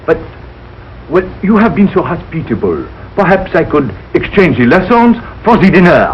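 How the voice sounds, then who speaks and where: An actor playing a suave, effusive French tutor with a French accent in a 1940s radio sitcom, in broken English sprinkled with French. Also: male voice, on the high side, very animated, moderate pace. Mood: eager, persuasive. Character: suave, eager French language tutor, flattering and excitable. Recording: dull, noisy old radio broadcast.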